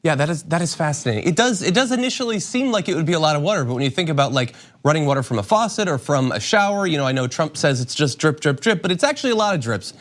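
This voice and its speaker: A man's voice: a little high, animated, very fast, few pauses. A man hosting a casual interview, feeling his way through his questions in a meandering, hesitant manner. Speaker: show host interviewing a guest.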